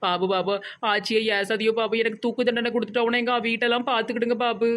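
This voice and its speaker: A woman's voice: on the high side, little pitch movement, quick pace, a bit bright, close to an everyday voice. A woman playing a whiny, scheming young village wife in a comic cartoon, wailing and pleading in Tirunelveli Tamil. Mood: fearful, whiny.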